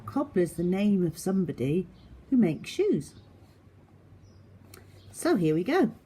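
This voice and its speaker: A woman with a mellow, slightly breathy voice reads a picture-book story to young children. Her pitch rises and falls widely, and she takes her time, speaking to the listeners simply and directly. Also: very animated, slow pace, a little hiss or hum. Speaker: teacher reading stories to young pupils. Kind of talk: slow animated children's story reading.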